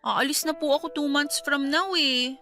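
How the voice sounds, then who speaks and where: An eager, supportive young niece in a radio drama. She speaks politely and emphatically in a high voice over a faint music bed. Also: steady tone, moderate pace, bright, forceful tone. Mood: earnest. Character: caring, excitable young niece, supportive and reassuring. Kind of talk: Tagalog radio drama acting.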